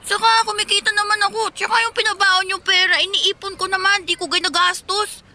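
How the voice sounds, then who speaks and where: A woman's voice: very high-pitched, level tone, a little fast, sharp tone, a little hiss or hum, exaggerated voice. A woman acting a timid, earnest Filipino schoolboy who speaks hesitantly and politely in a radio drama. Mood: defensive, nervous. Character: timid, earnest poor schoolboy, apologetic and eager. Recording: music bed behind the voice.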